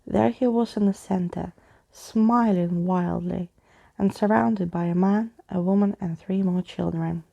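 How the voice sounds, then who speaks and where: A woman narrates a story in a soft-spoken ASMR style. Her tone is relaxed and mellow. A noticeable creak runs through her voice. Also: lowish voice, measured pace, highs cut off.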